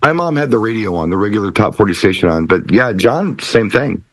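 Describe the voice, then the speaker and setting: Low-voiced man with lively intonation. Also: fairly quick, no room sound. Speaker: DJ and panel member. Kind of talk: casual music discussion.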